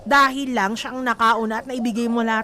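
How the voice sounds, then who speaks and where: Casting: radio co-host for casual interviews, mid-pitched voice, playful teaser who jokes readily. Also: fairly even intonation, bright, forceful tone, a little hiss or hum. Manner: teasing, playful, quick to joke. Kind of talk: casual teasing interview banter.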